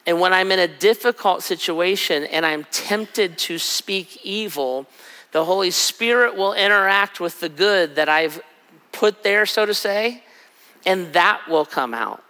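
A middle-aged man preaching a sermon in a conversational way, his voice raspy from travel. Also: sits high, lively intonation, sharp tone. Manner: conversational, acts out quick asides. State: raspy from a cold trip. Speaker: pastor. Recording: hall with long reverb.

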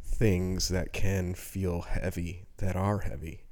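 A man's voice: low-pitched, level tone, takes it slowly, a little mellow. A man in his early forties talking casually and reflectively as co-host of a podcast discussion. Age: early 40s.

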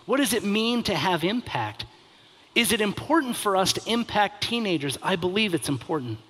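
A man's voice: high voice, very animated, normal speed, sharp tone, husky. A man in his thirties giving a conversational sermon as a pastor, earnest, with rhetorical questions and emphatic repetition.

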